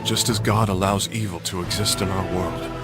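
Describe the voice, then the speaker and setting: Man playing a grim crusader knight over loud music. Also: fairly even intonation, normal speed, close to an everyday voice. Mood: grave, measured. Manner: solemn, formal archaic phrasing. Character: grim, resolute young crusader knight, fiercely righteous. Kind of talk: dramatic video game cutscene acting. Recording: loud music and rumble behind.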